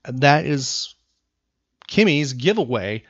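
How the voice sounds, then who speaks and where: A man hosting a podcast in casual banter with a co-host, talking in an animated, teasing way and repeating himself for emphasis. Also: mid-range voice, takes it slowly, husky, no room sound, highs cut off.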